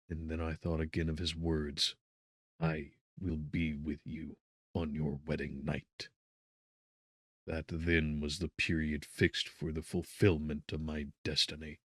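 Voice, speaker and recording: Dude reading gothic horror audiobook, really deep n slow, solemn and dramatic. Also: male voice, bright, forceful tone, no echo, studio quality. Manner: measured, solemn, theatrical. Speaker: audiobook narrator. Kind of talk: dramatic gothic novel narration.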